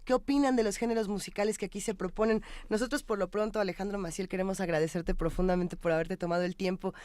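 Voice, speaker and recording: A woman with a Mexican accent hosts a magazine show in a conversational way. Her voice sits at an average pitch and is recorded dry and close. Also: fairly even intonation, fast, a little mellow, no echo. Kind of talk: conversational broadcast hosting.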